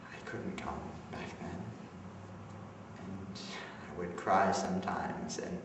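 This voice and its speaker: A man playing a dreamy, melancholy young farm man in a hushed, halting stage monologue. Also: low voice, pitched below the performer's own voice. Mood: sad, hushed. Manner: hushed, pensive, halting delivery. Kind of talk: theatrical dramatic monologue. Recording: echoey theater recording from far off, noisy.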